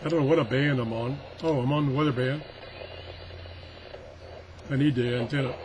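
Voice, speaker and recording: A man's voice: medium pitch, fairly even intonation, normal speed, noisy background. A man giving a casual hands-on product demonstration as a video reviewer, rambling and thinking aloud. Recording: weather radio chattering in the background.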